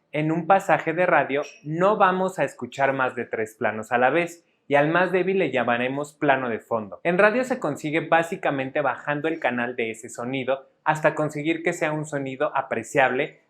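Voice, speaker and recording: Male narrator for educational audio. Fairly high pitch, formal and didactic, reads a structured script. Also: fairly bright tone. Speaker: instructor narrator.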